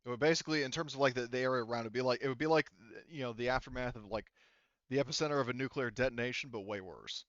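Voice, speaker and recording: A man's voice: mid-range voice, a bit bright, no echo. Rambling male game master, dry dull mic. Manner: rambling and halting, casually profane. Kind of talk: casual improvised explanation of tabletop game rules and lore.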